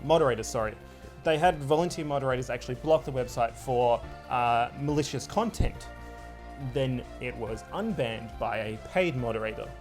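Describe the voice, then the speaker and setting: A male gaming show co-host explaining casually, haltingly searching for words. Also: mid-range voice. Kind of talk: casual panel discussion.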